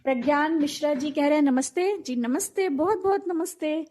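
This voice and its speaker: A woman's voice: sits high, normal speed, mellow. A woman hosting a casual live talk show, chatting directly with her co-host and listeners.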